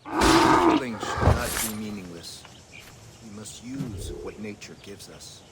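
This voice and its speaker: An actor playing a calm, serious hunter and teacher in a video game, speaking tersely and deliberately. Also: male voice, on the low side, gravelly, heavy background noise, full character voice. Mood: calm, instructive. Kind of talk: dramatic video game cutscene acting.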